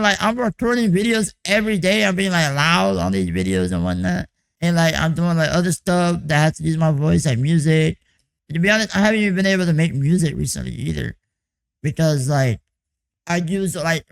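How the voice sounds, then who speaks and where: A young man giving a casual vlog check-in on his music production boot camp, with a hoarse, raspy, croaky voice and a loose, rambling way of talking full of "like" and "you know.". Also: fairly high pitch, expressive, brisk.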